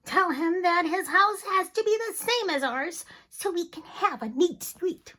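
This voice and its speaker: A woman voicing a crowd of scandalized, gossiping neighbors who exclaim loudly in high, drawn-out outbursts. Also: lively intonation, heavily acted. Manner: loud, shrill, drawn-out exclaiming.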